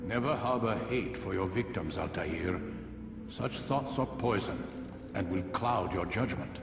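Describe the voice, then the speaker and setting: A man playing a grave, wise older master of an assassin order in a video game, measured and instructive. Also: low-pitched, animated. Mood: solemn counsel. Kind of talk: dramatic video game cutscene acting. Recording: very echoey stone hall, distant, music. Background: music.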